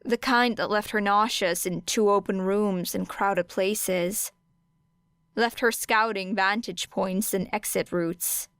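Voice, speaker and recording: A woman's voice: medium pitch, fairly even intonation, takes it slowly, fairly bright tone, clear, resonant tone, no room sound, clean studio sound. A woman reading scripted fiction as an audiobook narrator.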